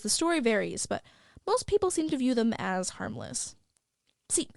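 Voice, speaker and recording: A woman's voice: mid-range voice, no room sound, clean studio sound. A woman playing a gossipy small-town after-school news reporter, narrating in a conversational, wry and inquisitive way. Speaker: reporter and host. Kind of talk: scripted fictional news narration.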